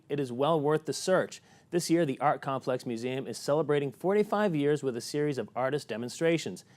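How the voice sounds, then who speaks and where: A man narrates a local news piece in a somewhat high voice. His smooth, even read sounds scripted.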